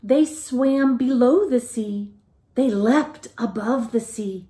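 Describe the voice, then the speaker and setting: A middle-aged woman reading a picture book aloud to young children in an expressive teacherly way. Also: on the high side, slow. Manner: expressive, questioning, child-directed delivery. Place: living room at home, indoors.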